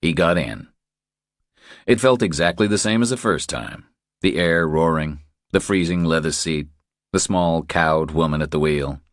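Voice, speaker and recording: A man's voice: very deep voice, a little slow, dry recording, clean studio sound. A man reading a thriller as a scripted audiobook narration.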